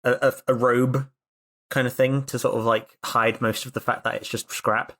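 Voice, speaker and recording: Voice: medium pitch, level tone, moderate pace, a bit bright. A tabletop game player improvising actions in a casual, conversational way.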